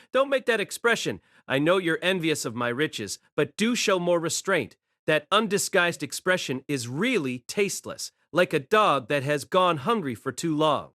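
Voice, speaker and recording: A man's voice: on the high side, very animated, measured pace, a bit bright, no room sound, studio quality. A man playing an arrogant, mocking warrior spirit king in a dramatic audio drama, haughty and taunting. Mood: amused mockery.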